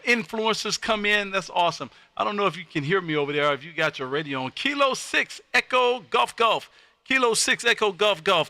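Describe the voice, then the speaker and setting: An American man hosting amateur radio contacts on a live stream, loud, exuberant and folksy, often repeating his phrases. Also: sits high, dry recording.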